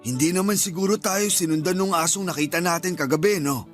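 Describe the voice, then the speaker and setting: A man's voice: sits high, brisk, faint music behind, lightly acted. A Filipino man playing a nervous, superstitious middle-aged uncle who scolds and nags in a radio drama. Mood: scolding, familiar. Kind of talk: Tagalog radio drama acting.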